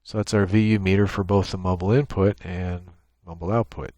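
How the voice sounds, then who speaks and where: A man giving an unscripted software tutorial, explaining each step in a matter-of-fact, technical way. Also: deep voice, steady tone, brisk, slight background noise. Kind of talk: unscripted screen-share walkthrough. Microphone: USB microphone with gate and compressor.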